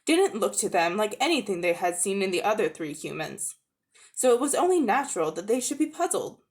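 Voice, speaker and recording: A woman narrates a storybook in a dramatic reading style. Her pitch moves around freely and expressively, and she now and then stumbles over a phrase. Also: big pitch swings, fairly quick. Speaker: amateur audiobook reader.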